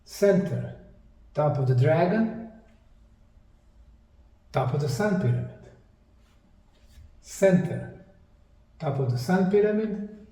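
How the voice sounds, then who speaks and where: A man presents a lecture in a mellow, slightly high voice. He goes very slowly, setting out short, emphatic phrases with deliberate pauses. The mic sounds a little distant. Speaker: presenter, anthropologist. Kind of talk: slide lecture presentation on sacred geometry. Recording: distant mic in an echoey room.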